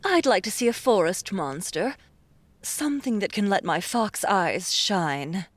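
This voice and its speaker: A woman playing an eager, sharp-tongued and wistful young fox beastwoman in an audiobook, expressive and prone to exclaiming. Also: mid-range voice, measured pace, no room sound. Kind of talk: audiobook character acting.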